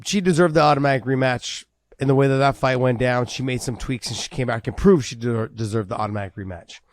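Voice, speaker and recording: A man co-hosting a casual MMA podcast, talking in an animated way and repeating words as he builds his points. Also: mid-range voice, fast talker, dry recording. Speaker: podcast co-host.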